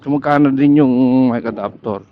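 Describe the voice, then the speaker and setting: A man with a Filipino accent vlogging casually while riding a motorcycle, pausing often to search for words, speaking into a helmet intercom mic. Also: medium pitch, narrow, tinny audio, a little hiss or hum. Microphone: helmet intercom headset.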